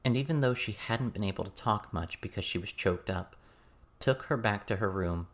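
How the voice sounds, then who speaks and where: A man's voice: on the low side, normal speed. A man reading a scripted story as an audiobook narrator.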